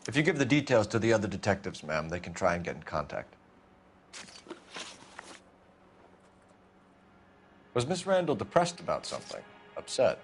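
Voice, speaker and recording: A man's voice: on the low side, very animated, fast. A man playing a stern 1940s police detective, questioning a witness formally and probing for answers in a video game scene. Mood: polite questioning.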